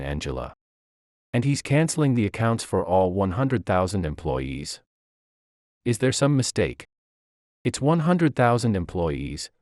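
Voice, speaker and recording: Middle-aged male bank president, read by a male narrator, fretful and imploring, animated delivery for audio story. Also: low-pitched, no echo, clean studio sound.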